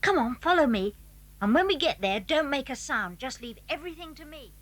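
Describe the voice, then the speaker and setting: Earnest, high-voiced British schoolboy. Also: female voice, lively intonation, brisk, fairly bright tone. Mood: conspiratorial, eager. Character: eager, cautious schoolboy who leads his friends. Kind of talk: 1980s British children's audio drama acting.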